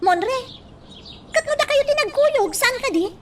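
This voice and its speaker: An actress playing an anxious, scolding daughter in an Ilocano radio drama. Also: female voice, extremely high pitch, animated, a little slow, a bit bright, distant mic, a little hiss or hum, heavily acted. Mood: accusing.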